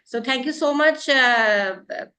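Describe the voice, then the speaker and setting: A woman's voice: high-pitched, a little slow, bright, forceful tone. A woman hosting a live interview show, addressing her guest formally and politely. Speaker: talk show host.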